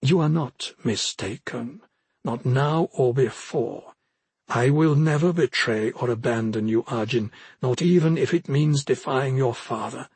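A man's voice: mid-range voice, measured pace, darkish tone, slightly rough, no echo. Man reading as a solemn, loyal soldier, clean dry sound. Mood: solemn devotion. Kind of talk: audiobook character dialogue.

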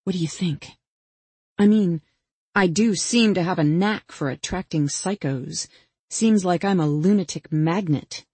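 A woman's voice: lowish voice, unhurried, warm tone, husky, no echo. A woman voicing a vengeful young female killer in an audiobook, taunting and mock-patient as she puts pointed questions. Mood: bitter, menacing. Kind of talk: dramatic audiobook character dialogue.

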